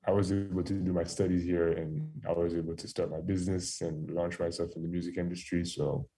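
A man's voice: sits low, a little fast. A man in his thirties with a Haitian accent, French his first language, chatting easily as a guest on a podcast. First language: French and Haitian Creole. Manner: relaxed, easygoing, repeats words as he thinks. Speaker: guest DJ and producer. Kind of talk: casual interview chat.

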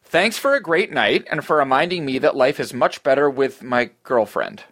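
A man's voice: medium pitch, expressive, normal speed, fairly bright tone. A man voicing a friendly, apologetic young fellow's letter in a sitcom table read, cheery in tone.

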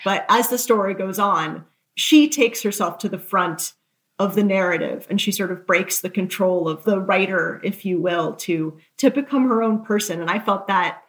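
A woman's voice: medium pitch, normal speed, a bit bright, low-quality recording. A woman author being interviewed, talking candidly and conversationally, with self-deprecating asides and many loose hedges. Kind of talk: conversational interview, telling anecdotes.

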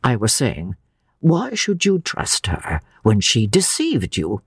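A woman voicing an earnest old man in a novel, hot-tempered yet tender, speaking with slow, emphatic passion. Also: a bass voice, wildly swooping pitch, breathy edge, no room sound, studio quality, full character voice. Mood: accusing.

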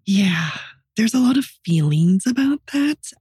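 Husky, raspy-voiced woman podcaster. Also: medium pitch, animated, measured pace, warm tone, no room sound, studio quality. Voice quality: raspy voice from partly paralyzed vocal cords. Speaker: podcast co-host. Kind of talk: personal storytelling in casual podcast chat.

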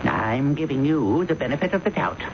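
An actor playing a stern, conservative company boss in a radio drama, brusque and pompous. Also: male voice, a little high, big pitch swings, moderate pace. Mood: stern, displeased. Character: stuffy, stern male company boss, image-conscious and conservative. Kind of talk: old-time radio drama acting. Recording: dull, noisy old radio broadcast.

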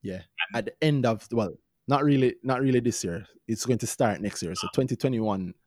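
A man's voice: medium pitch, normal speed, darkish tone, dry recording, studio quality. A Jamaican man co-hosting a podcast, chatting informally about finance in a hesitant, self-repeating way. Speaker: podcast co-host reviewing stocks. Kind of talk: casual unscripted financial chat.

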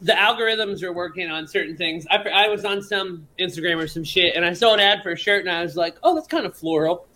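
A man's voice: high-pitched, fairly quick, few pauses, pushed and bright, a little hiss or hum, rough recording. A man from Florida, a guest songwriter, chatting earnestly and easily on a podcast. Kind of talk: casual chat.

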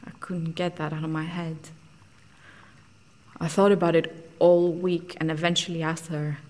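An actress playing an intense, candid woman scientist in an audio drama, telling of lost love in an earnest, deliberate, wistful way. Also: sits low, little pitch movement, a little mellow, natural voice. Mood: regretful, subdued. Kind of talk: audio drama acting, confessional storytelling.

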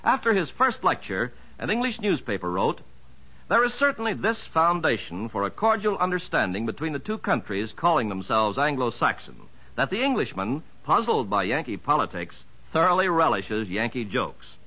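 A man's voice: medium pitch, big pitch swings, moderate pace, bright, forceful tone. A man announcing in a formal, emphatic scripted 1950s radio narration style. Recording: muffled old radio broadcast.

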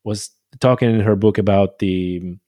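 A man's voice: lowish voice, steady tone, unhurried, mellow. A man from Poland, hosting a podcast interview, eager and rambling with frequent restarts. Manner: enthusiastic, rambling, many restarts. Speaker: podcast host. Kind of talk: conversational interview.

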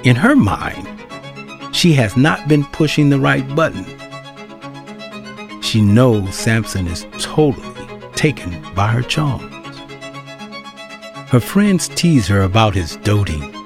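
A man's voice: medium pitch, big pitch swings, slow, vocal fry, pauses often. A man reading scripted narration for an audio drama, with string music behind him.

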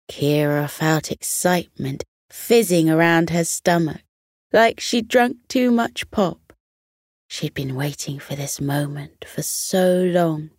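Slow-paced woman narrating a bedtime story. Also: low-pitched, darkish tone, no room sound, studio quality.

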